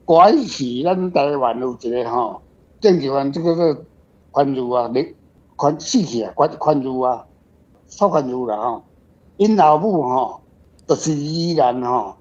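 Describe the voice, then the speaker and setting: A man's voice: fairly high pitch, a little mellow. A man with a Taiwanese accent answering casually as a guest author in a panel discussion, rambling and repeating his words. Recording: dull, lo-fi sound.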